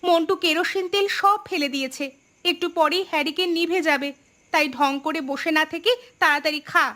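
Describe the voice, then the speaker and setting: A woman's voice: very high-pitched, a little fast, bright, forceful tone. A woman voicing a nagging, exasperated village mother in a cartoon, scolding and complaining. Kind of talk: cartoon voice acting.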